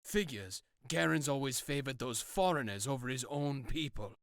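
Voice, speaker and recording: An actor playing a resentful, excitable peasant townsman in a video game. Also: mid-range voice, moderate pace, no room sound, studio quality. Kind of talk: video game cutscene acting.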